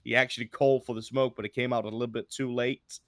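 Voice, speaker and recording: A man giving casual esports color commentary with a non-English accent, often halting and repeating words. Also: medium pitch, level tone, fairly bright tone.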